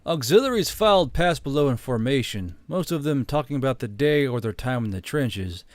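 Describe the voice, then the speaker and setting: A man's voice: on the high side, no room sound. Male fantasy audiobook narrator, dry sound. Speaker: narrator and channel host.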